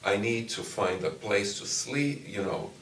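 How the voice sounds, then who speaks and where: A middle-aged professor from Brazil whose first language is Portuguese talks slowly in a very low voice. He answers thoughtfully, with many pauses and restarts. The recording is roomy and taken from some distance, with faint ambience behind. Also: animated, a bit bright, a little hiss or hum. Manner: slow, thoughtful, with frequent "you know" and restarts. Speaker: university professor. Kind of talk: interview answers. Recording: echoey room, distant mic, faint ambience.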